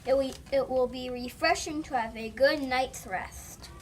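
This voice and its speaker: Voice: high voice, unhurried. A child reading a scripted character part aloud in reader's theater. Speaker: student reader. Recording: faint room noise.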